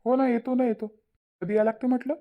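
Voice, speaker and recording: A woman's voice: fairly high pitch, flat delivery, fast talker, lightly acted. Woman voicing an easygoing village man, muffled sound. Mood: obliging. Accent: Varhadi Marathi from Vidarbha. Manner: placid, reassuring, brief replies. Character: easygoing village husband, obliging younger brother. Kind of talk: Marathi cartoon family-drama dialogue.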